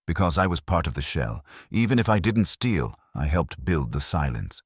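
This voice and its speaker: A man's voice: a bass voice, big pitch swings, normal speed, slightly rough, dry recording, clean studio sound, exaggerated voice. A man voicing a guarded, guilt-ridden woman in an audiobook, subdued and confiding in a resigned way. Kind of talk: audiobook character dialogue.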